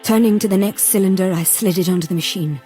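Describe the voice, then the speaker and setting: A woman's voice: medium pitch, quick pace, dark tone, soft background music, natural voice. An actress playing a determined Victorian woman who narrates a gothic radio drama in the first person. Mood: tense, intent.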